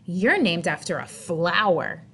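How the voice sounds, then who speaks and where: A woman's voice: on the low side, big pitch swings, measured pace, sharp tone, breathy edge, full character voice. A woman voicing a snooty young mouse schoolgirl from a storybook, pointed and teasing. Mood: snooty, taunting. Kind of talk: children's storybook character.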